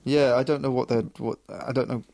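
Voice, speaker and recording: A man in casual discussion as a co-host, halting and often stammering over his words. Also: medium pitch, steady tone, vocal fry, warm tone, no echo. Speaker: co-host of a chat show.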